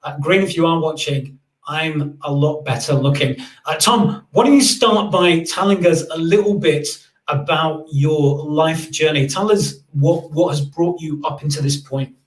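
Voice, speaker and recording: Chatty high-voiced male podcast host. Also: measured pace, roomy sound, poor audio. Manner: chatty, many fillers and restarts. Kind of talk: casual live-stream interview.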